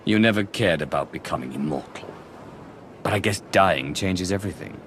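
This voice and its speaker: Game lead: commanding male Sith, low voice, guarded and dryly skeptical, faint noise behind. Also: lively intonation, normal speed, a bit bright. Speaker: video game character voice actor. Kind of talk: scripted dramatic game dialogue.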